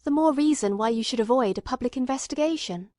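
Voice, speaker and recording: Courteous but insistent gentleman investigator, read by a woman: highish voice, formal questioning, dry storybook sound. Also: quick pace, no room sound, lightly acted. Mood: stern, insistent. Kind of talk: dramatic audiobook character reading.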